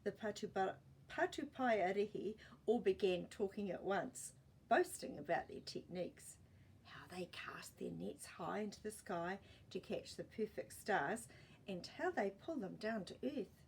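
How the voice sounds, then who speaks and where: A woman's voice: medium pitch, lively intonation, measured pace, a little hiss or hum, poor audio. A woman reading a children's story expressively, with playful asides to listeners.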